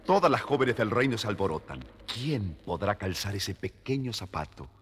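A man's voice: fairly low pitch, sing-song, normal speed, a little hiss or hum. A man narrating a scripted children's fairy tale as storyteller.

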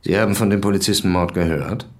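A man playing a controlled, probing police commissioner in a radio drama, measured, formal and authoritative. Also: low voice, steady tone. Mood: polite, probing. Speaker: lead actor in a crime radio drama.

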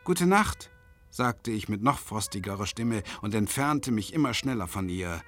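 An actor playing a reserved, frosty first-person narrator in a radio drama, telling his story wryly in a husky voice. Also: on the low side, animated, unhurried, fairly bright tone, soft background music. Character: embarrassed, frosty male narrator fleeing an admirer.

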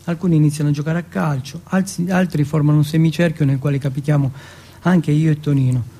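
A man's voice: a little high, fairly even intonation, fast, dark tone. A man from Turin performing a first-person dramatic monologue in short, blunt, colloquial sentences with a streetwise manner. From Turin, Italy.

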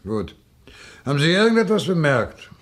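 Methodical inspector in an echoey room. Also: male voice, on the high side, big pitch swings, normal speed. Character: methodical male police inspector questioning witnesses. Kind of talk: radio crime drama acting. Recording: echoey room, distant, loud background noise.

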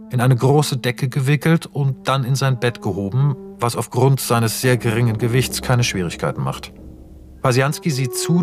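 A man narrating a radio play, reading out scripted stage directions in a measured, matter-of-fact way. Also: mid-range voice, natural voice.

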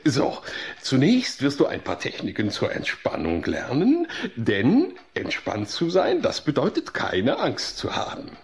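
A wildly expressive, fussy psychologist. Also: male voice, mid-range voice, a little slow. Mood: coaxing instruction. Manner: didactic, expressive, coaxing. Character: fussy, earnest, patient male psychologist and therapist. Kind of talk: German children's audio drama acting.

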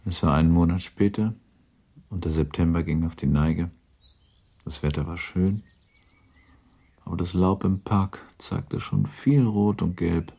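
A man's voice: low-pitched, steady tone, measured pace, long pauses, dark tone, breathy edge, full character voice. A man narrating a literary radio drama in a sober, composed manner.

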